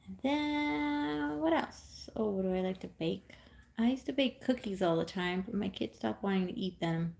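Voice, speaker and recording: A woman's voice: mid-range voice, moderate pace, vocal fry, a little mellow. A woman in her thirties from California, chatting casually on a live stream at home while she knits, rambling with many ums and small laughs.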